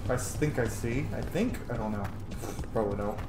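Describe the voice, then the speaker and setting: A man's voice: medium pitch, brisk. A man giving casual, rambling, playfully dry commentary as he streams a video game. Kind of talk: casual let's play commentary. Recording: roomy echo, loud background game noise.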